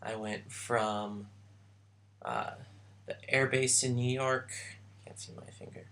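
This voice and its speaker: A young man recounting his trip in a casual vlog, slow and halting with many pauses. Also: medium pitch, fairly even intonation, creaky, a bit bright. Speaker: vlogger. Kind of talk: casual travel vlog chat.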